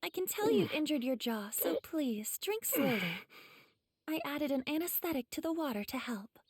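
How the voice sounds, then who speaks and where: A woman playing a composed, gentle young swordswoman healer in an anime game, courteous and unhurried. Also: high-pitched, animated, no room sound. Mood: gentle, coaxing. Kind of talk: English anime game voice acting.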